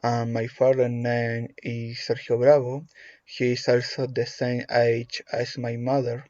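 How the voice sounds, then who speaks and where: A man from Chile with a Chilean Spanish accent, a native Spanish speaker reading a prepared self-introduction in English, halting, with frequent fillers and restarts. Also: medium pitch, monotone, a little mellow, no room sound. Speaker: English language student. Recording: dull lo-fi recording.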